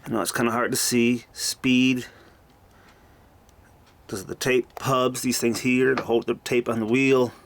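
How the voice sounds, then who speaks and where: A man narrating a casual home walkthrough, taking his time and wondering aloud when he is unsure. His pitch sits in a middle range. Faint noise hums under the camera mic. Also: fairly even intonation, vocal fry. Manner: unhurried, thinking aloud, unsure. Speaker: hobbyist showing a tape recorder.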